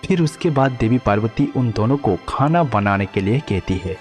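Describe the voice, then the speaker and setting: A man's voice: medium pitch, expressive, normal speed. A man narrating a scripted devotional storybook tale as the narrator. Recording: long reverb, background music.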